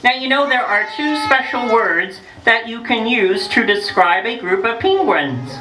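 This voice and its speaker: A woman voicing a playful, hungry female penguin who reads a picture book to children, teasing them with questions and dramatic pauses. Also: on the high side, expressive, crowd noise. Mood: storytelling. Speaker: storyteller for children. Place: echoey room with a group of children. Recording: roomy, distant, noisy live room recording.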